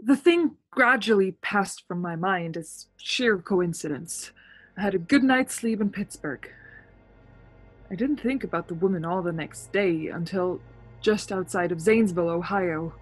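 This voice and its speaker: A woman's voice: fairly low pitch, unhurried, slightly rough, soft background music, poor audio. A woman playing a haunted, anxious young driver who tells her story in a suspense radio play, earnest and nervous. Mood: tense, recounting.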